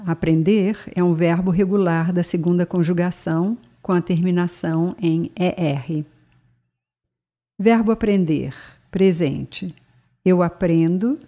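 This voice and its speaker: A woman's voice: fairly low pitch, dark tone. A woman narrating a scripted bilingual language lesson, speaking carefully and spelling out words. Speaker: language teacher.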